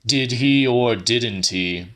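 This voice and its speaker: A man's voice: medium pitch, slow pace, a bit bright. Man doing a blunt, crotchety old man. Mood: irritated.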